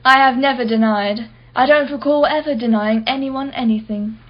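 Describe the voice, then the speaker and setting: An actress playing a wealthy, privileged art collector in a radio play, with an arch, articulate, sardonic manner. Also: female voice, on the high side, moderate pace, dull audio, close to an everyday voice. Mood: haughty, defensive. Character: haughty, wealthy, privileged female art collector, witty and defensive.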